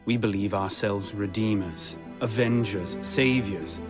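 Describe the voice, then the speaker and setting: Game hero narration, male actor: world-weary assassin, lowish voice, solemn and meditative, over prominent music. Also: a little slow, close to an everyday voice. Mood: solemn, rueful. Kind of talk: scripted closing monologue in a video game.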